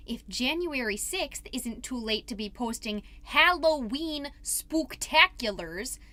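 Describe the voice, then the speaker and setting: A woman's voice: sits high, bright, forceful tone, heavily acted. A woman playing a chatty, wholesome suburban mom podcaster, doting and easily exasperated, in a scripted comedic monologue. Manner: chatty, dramatic, folksy minced oaths.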